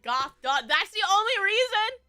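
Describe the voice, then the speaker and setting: A bright, high-pitched woman with a stuffy nose chats with her viewers. Her voice swings all over the place as she rambles and breaks into giggles. Faint music plays under a dry, close sound. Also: big pitch swings, normal speed, no room sound. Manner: bubbly, rambling, frequent giggles. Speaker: streamer. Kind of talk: casual chat with viewers.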